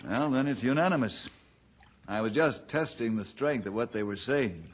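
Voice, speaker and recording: An actor playing a steady, thoughtful, fatherly ranger in an old-time radio drama, folksy and reassuring. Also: medium pitch, normal speed, natural voice. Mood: assured.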